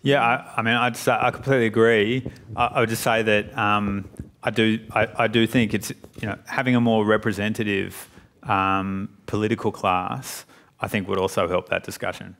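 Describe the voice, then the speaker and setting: A man's voice: lowish voice, level tone, moderate pace, a bit bright. A man speaking as a panel guest on an auditorium stage, hesitant with many restarts. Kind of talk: panel discussion.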